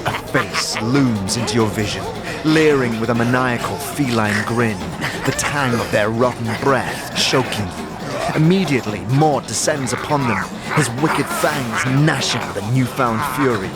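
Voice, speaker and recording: A man narrating a fantasy audio drama in the second person, theatrical and deliberate, in short measured clauses. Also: mid-range voice, lively intonation, loud music behind the voice. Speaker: narrator and lead player of an audio series.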